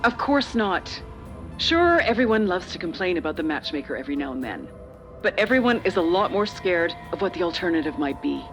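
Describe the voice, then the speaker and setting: Middle-aged corporate exec mom in a video game, calculating, smooth talking, kinda condescending, music underneath. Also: female voice, on the low side, big pitch swings. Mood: persuasive, insistent. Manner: measured, persuasive, slightly condescending. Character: older female corporate official and parent, calculating, persuasive, patronizing. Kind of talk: video game dramatic voice acting.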